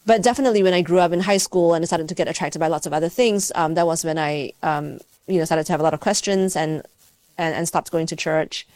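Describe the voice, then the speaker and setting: A woman's voice: low voice, a little mellow, no echo. A woman from Malaysia, a scientist giving reflective, conversational interview answers, searching for words as she goes. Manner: halting, thoughtful, searching for words. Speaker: scientist and university teacher, interview guest.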